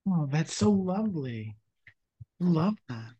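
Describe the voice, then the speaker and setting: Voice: medium pitch, sing-song, slow pace, mellow, husky, highs cut off. A podcast host speaking in a conversational interview style.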